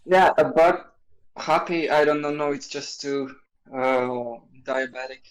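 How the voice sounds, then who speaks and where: A man co-hosting a casual podcast chat, hesitant and halting, chuckling between thoughts. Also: medium pitch, fairly even intonation, a little hiss or hum, low-quality recording. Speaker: podcast co-host.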